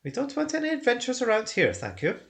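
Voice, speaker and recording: A man's voice: very high voice, huge pitch swings, fast, creaky, fairly bright tone, voice pushed up, full character voice. A man voicing a fussy, respectable male hobbit who is polite but prickly. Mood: prim.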